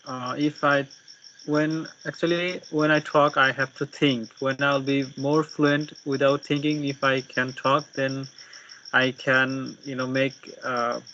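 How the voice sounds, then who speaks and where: A man learning English, chatting haltingly as he searches for words. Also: medium pitch, a bit bright. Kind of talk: casual practice conversation. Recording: dull, noisy with insect chirps.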